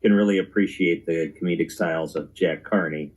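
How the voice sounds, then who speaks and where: A man presenting as a radio host, with a husky voice and a talk-radio delivery. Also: low voice, steady tone, a little fast.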